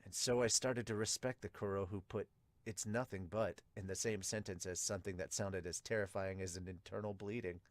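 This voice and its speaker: Man as shy, deadpan manga teen: low, muted, muttering, dry close sound. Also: fairly quick, dry recording, close to an everyday voice. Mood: reluctant. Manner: low-key, muttering, reluctant. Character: quiet, withdrawn, deadpan teenage boy volleyball player. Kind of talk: manga dub narration and dialogue.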